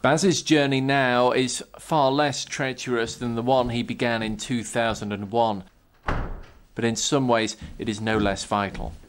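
A man narrating a regional news report in a measured, scripted way. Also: mid-range voice, fairly even intonation, a little hiss or hum.

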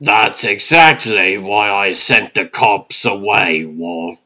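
A man's voice: lowish voice, slow, pushed and bright, voice pushed down, full character voice. A man voicing a brash, exasperated raccoon ranger in a comic dub, dry and bossy, with music underneath. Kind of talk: comic-book dub acting.

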